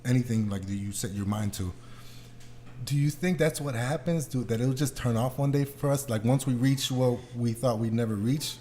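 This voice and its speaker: A man's voice: mid-range voice, moderate pace, warm tone. A man hosting a podcast, chatting and asking questions in an informal, slangy way. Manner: slangy, informal, swears casually. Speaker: podcast host. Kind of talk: casual interview chat. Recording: clear background noise.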